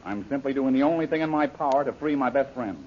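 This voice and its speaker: A man's voice: medium pitch, fast, lightly acted. Brave, wry adventurer hero male actor, mid-range voice, forthright, vintage radio serial with dull sound. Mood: resolute. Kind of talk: old-time radio adventure serial acting. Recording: muffled, noisy old radio recording.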